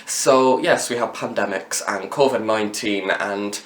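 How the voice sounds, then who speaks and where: A man's voice: fairly low pitch, unhurried, fairly bright tone. A young man presenting a review to camera in a chatty, wordy, emphatic way. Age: young adult. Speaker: review channel host. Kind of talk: scripted-sounding audio drama review to camera. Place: room in university campus housing. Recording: echoey, roomy sound.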